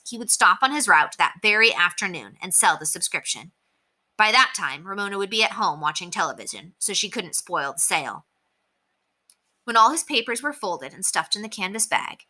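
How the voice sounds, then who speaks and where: A woman, a teacher, reading a children's chapter book aloud with expressive storytelling. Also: mid-range voice. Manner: expressive storytelling with softer narration.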